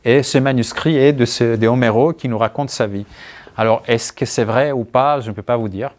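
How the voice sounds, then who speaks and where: Male author with Greek accent, medium pitch, thoughtful and halting interview delivery. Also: darkish tone. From Greece. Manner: halting, searching for words, thoughtful. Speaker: writer being interviewed. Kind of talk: conversational answers at a book talk.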